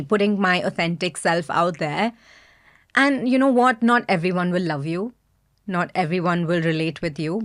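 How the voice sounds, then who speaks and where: A woman from India with an Indian accent, speaking candidly and thoughtfully as a podcast guest. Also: on the low side. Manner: soft-spoken, candid, thoughtful. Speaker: guest, business founder. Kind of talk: reflective podcast interview.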